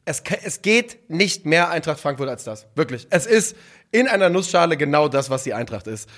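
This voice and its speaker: A chatty man co-hosts a relaxed football podcast. His voice sits a little high for a man. He talks in quick asides and laughs easily. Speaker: podcast co-host. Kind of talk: casual football podcast chat.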